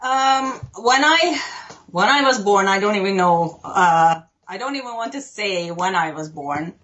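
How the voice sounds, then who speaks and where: A woman's voice: mid-range voice, animated, measured pace, a bit bright, muffled recording. A woman in her fifties from Hungary, a podcast guest talking casually and earnestly, laughing easily. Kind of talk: casual interview chat.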